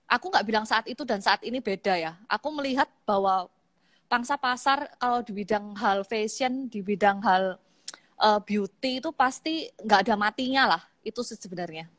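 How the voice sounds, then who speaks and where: A woman in her thirties from East Java with a Javanese accent, chatting casually as a guest on a live-stream interview, laughing easily and filling pauses with hesitations. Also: mid-range voice, a bit bright, no room sound. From Malang, East Java, Indonesia. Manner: chatty, laughs easily, many fillers and restarts. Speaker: guest, makeup artist. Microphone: phone on an online live stream.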